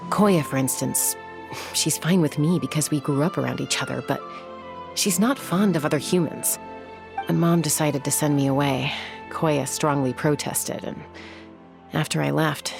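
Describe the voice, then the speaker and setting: An actress playing a calm, reflective young woman warrior in a video game, talking in a soft, measured way. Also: low voice, gravelly.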